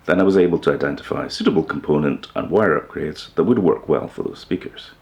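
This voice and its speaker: Very deep, gravelly male presenter. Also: expressive, fast talker, a little mellow, highs cut off. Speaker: host of a hi-fi upgrade video channel. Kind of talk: informal explainer to camera.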